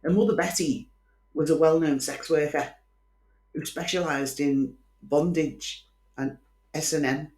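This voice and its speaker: A woman's voice: sits low, fairly even intonation, measured pace, long pauses, darkish tone, reverberant. A woman from Liverpool with a Scouse accent hosts a chatty true-crime show, telling the story with opinionated, wry asides. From Liverpool, England. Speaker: host.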